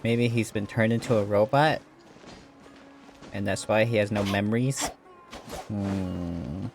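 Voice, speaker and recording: A man chats over a video game in a low voice, tossing in sarcastic, joking asides. His commentary is loose and casual. Game music plays faintly in the background. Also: slow. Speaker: gameplay streamer.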